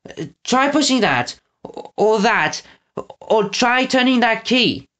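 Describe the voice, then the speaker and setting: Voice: mid-range voice, expressive, takes it slowly, pushed and bright, voice pushed up. A reader voicing a brave young boy hero with an earnest, urgent manner in a storybook reading. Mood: unsure.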